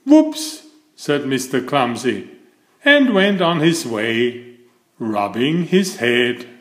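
A man reading a children's picture book aloud as storyteller, with deliberate, emphatic phrasing. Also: medium pitch, big pitch swings, a bit bright, roomy sound.

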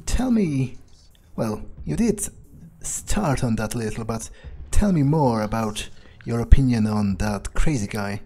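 A man improvising in character as he plays a game, pausing often mid-sentence. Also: mid-range voice, animated, mellow. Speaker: gamer playing in character. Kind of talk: improvised in-character roleplay commentary. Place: voice-over on game footage, faint game music behind.

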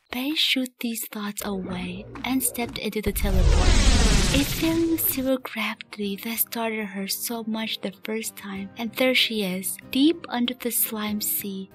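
A woman reading scripted story narration as the narrator of a fan radio play. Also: a little high. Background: music.

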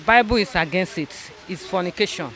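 A young woman answering a street interview outdoors into a handheld mic, earnest and halting, repeating her points. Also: on the low side, lively intonation, a bit bright. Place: outdoors on a roadside. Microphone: handheld mic held by interviewer.

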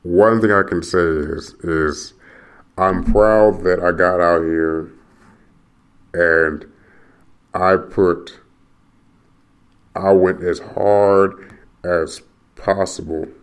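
A man's voice: low-pitched, steady tone, drawn-out words, long pauses, clear, resonant tone. A young American man hosting a solo podcast, rambling and restless, repeating himself and cursing as he rants. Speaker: podcast host.

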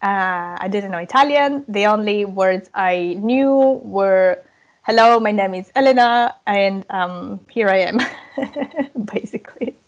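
A woman's voice: mid-range voice, takes it slowly, dull audio. A woman in her thirties from southern Ukraine, a native speaker of Russian and Ukrainian, talking informally as an interview guest over a video call. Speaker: podcast guest, language coach. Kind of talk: casual interview chat. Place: indoor room, video call. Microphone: earphone headset on a video call.

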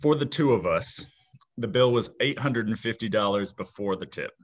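A man's voice: lowish voice, lively intonation, moderate pace, highs cut off. A man chatting casually as a guest, telling rambling stories full of fillers. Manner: laid-back, rambling with fillers. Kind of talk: casual storytelling chat.

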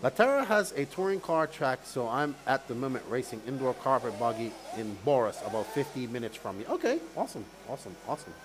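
A man's voice: medium pitch, sing-song, fast talker, few pauses. Bermudian race commentator, man, over track noise. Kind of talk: casual live-stream commentary, chatting with viewers. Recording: clear background noise, outdoor event ambience.

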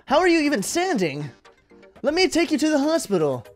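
A man's voice: very high voice, huge pitch swings, a little fast, faint music behind, pitched above the performer's own voice, heavily acted. A man voicing an anxious, pleading teenage schoolboy, urgent and startled, in a visual novel read-aloud. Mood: worried.

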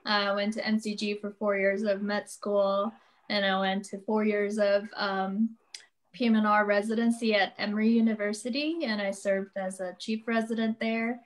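A woman's voice: mid-range voice, level tone, normal speed. Cheerful woman pain doctor. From South Korea. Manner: cheerful, light laughs. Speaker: pain management physician. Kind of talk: self-introduction in a clinic video panel.

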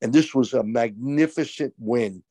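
An older man co-hosting a postgame lacrosse show over a video call, talking with emphatic, opinionated excitement. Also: mid-range voice, measured pace, dry recording. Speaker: co-host, college lacrosse analyst. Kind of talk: excited postgame sports talk. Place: small room indoors. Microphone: video call webcam.

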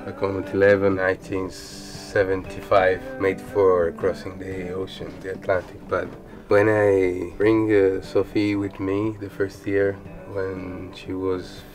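A man in his thirties with an Italian accent, speaking in a lowish voice as he shows his boat. His English halts now and then while he hunts for words. Music plays clearly underneath. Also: level tone. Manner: halting, searching for English words. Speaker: sailboat owner. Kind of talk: casual boat tour. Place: outdoors on a sailboat deck and cabin. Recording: background music.

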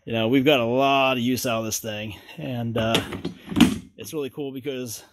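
A man's voice: mid-range voice, normal speed, dry recording. A man hosting a home repair video, talking casually and folksy as he works in a garage. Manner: relaxed, folksy, chatty with fillers. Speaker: DIY video host. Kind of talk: casual how-to explanation.

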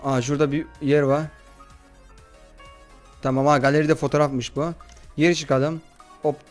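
A man hosting a horror game playthrough in slangy, excitable, casual chat, often addressing his viewers. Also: on the high side, moderate pace, soft background music. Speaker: gaming video host.

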